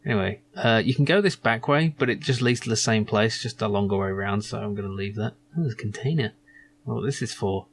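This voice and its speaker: A man's voice: lowish voice, quick pace, slight background noise. A man hosting a let's play, giving casual, musing commentary as he goes through an adventure game. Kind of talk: casual gameplay commentary, reading game dialogue aloud.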